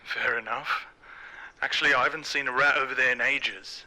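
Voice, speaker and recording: Guy playing an easygoing park worker, really bright sharp voice, mild n apologetic, horror film dialogue. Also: medium pitch, steady tone, normal speed, low-quality recording. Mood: apologetic, casual. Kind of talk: scripted found-footage horror film dialogue.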